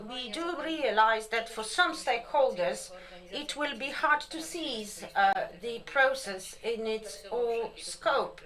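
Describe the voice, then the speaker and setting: A woman speaking as a conference presenter, in formal administrative wording with a halting, measured delivery. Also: on the high side, fairly bright tone, low-quality recording. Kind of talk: formal conference presentation on health policy procedures.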